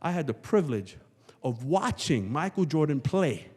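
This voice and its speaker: A man's voice: on the high side, sing-song, moderate pace, a little mellow. A male pastor preaching a church sermon, emphatic and rhetorical, repeating phrases for effect. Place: large church hall, before a congregation. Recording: long hall reverb, church sanctuary PA.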